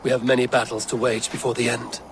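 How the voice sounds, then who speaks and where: An actor playing a stern male Viking raid leader in a video game cutscene, speaking in a grave, measured, commanding way. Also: mid-range voice, level tone, a little hiss or hum, lightly acted. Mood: resolute.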